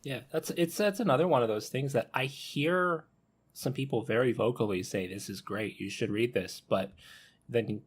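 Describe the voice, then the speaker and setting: A man's voice: medium pitch, normal speed, breathy edge. A man talking casually on a podcast, conversational and given to acting out what people might say.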